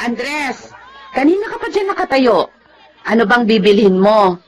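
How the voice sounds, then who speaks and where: An actress playing a chatty female storekeeper in a radio drama, brisk, familiar and teasing. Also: fairly high pitch, very animated, a faint crowd. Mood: teasing, amused. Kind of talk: Tagalog radio drama acting.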